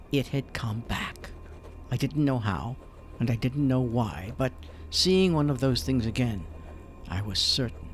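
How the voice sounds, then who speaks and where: A man's voice: medium pitch, creaky, close to an everyday voice. An actor playing a frightened man who tells of dreams that draw him toward a lake, narrating in a radio drama. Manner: uneasy, fearful confession. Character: haunted man recounting a dread secret.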